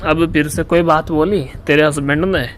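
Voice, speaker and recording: A man's voice: on the high side, fairly even intonation, normal speed, a little hiss or hum, natural voice. A man playing a boastful, scheming lover with a Rajasthani accent in a mimicked phone-call comedy skit. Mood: concerned, nagging. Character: swaggering, scheming lover wooing a married woman.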